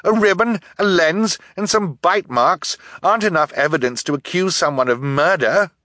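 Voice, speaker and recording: An earnest, frightened young girl in an audiobook, played by a man. The voice is pushed high and urgent, imploring and protesting. It sounds bright and close. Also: moderate pace, no echo. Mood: desperate protest. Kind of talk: audiobook character voice.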